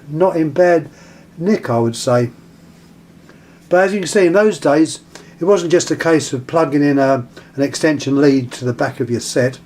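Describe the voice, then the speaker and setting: British man, unhurried halting demonstrator, slightly higher-set voice, informal show-and-tell on a camera mic. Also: darkish tone, a little hiss or hum. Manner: halting, pausing mid-phrase while handling things. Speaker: vintage radio collector presenting an item. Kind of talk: casual hands-on demonstration. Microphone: handheld camera, close to the item.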